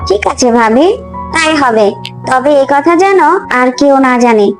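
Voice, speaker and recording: A woman's voice: sits high, a little slow. A woman voicing a pert, argumentative young daughter-in-law in a fairy-tale cartoon. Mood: eager conspiring. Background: background music.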